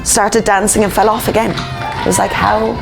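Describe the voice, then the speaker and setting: A woman's voice: medium pitch, little pitch movement, normal speed, gravelly, a crowd in the background, low-quality recording. An Irish-accented woman telling stories casually in a documentary interview, acting out what others said. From Ireland. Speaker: Irish dancer in a comedy circus show. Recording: music behind the voice. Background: music.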